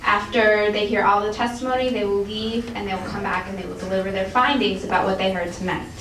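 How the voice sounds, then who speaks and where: A woman's voice: medium pitch, steady tone, fast. A woman hosting a public event, upbeat and welcoming as she explains the proceedings. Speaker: event host introducing speakers. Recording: echoey hall, distant mic, background noise.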